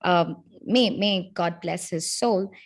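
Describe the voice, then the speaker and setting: A woman's voice: on the low side, slow. A woman hosting an interview, who is complimentary and speaks in full, reflective sentences. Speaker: podcast host, interviewer. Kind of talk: casual conversational interview.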